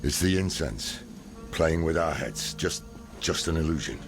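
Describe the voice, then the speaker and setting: An actor playing a gruff, skeptical, weary warrior in a video game, with a gravelly voice. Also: male voice, very deep voice, expressive, measured pace, exaggerated voice. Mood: wary doubt. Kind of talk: dramatic video game acting. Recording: loud game music behind.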